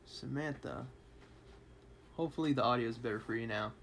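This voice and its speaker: A man's voice: medium pitch, brisk, vocal fry, slight background noise. A man reading a classic novel aloud on a livestream, with casual asides to his chat. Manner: casual, reads with light character voices and laughs between asides. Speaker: livestream reader.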